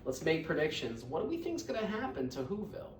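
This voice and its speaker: A woman reading a children's storybook aloud as an expressive narrator. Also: low voice, quick pace, slight background noise. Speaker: read-aloud storyteller, teacher. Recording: roomy echo, distant microphone.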